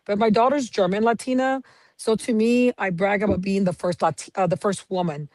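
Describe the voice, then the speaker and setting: A woman in her fifties from San Antonio, Texas, a county clerk giving steady, detailed answers as a guest. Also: mid-range voice, a little fast, no room sound. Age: 50s. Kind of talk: interview answers.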